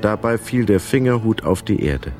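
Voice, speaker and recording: A male narrator of a children's radio story. His voice is fairly low and he reads with expressive drama. Quiet music plays behind him. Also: moderate pace.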